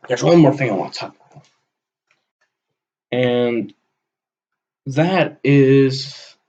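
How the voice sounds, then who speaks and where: A man giving a rambling, unscripted monologue for an audio log, halting often and letting his sentences trail off. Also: mid-range voice, a little mellow, dull audio. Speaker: vlogger recording an audio log.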